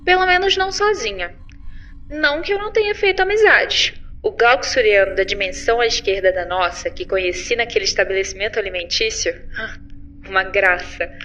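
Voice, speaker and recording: Woman as bright-voiced sarcastic space patrol officer, lo-fi. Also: on the high side. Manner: sarcastic, expressive, informal. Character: sarcastic, chatty young female galactic patrol officer recording mission report. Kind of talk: science fiction audio drama log.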